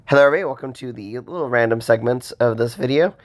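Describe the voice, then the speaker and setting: A man with a cold casually commenting on gameplay as host, rambling with fillers and pausing for breath. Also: mid-range voice. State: has a cold.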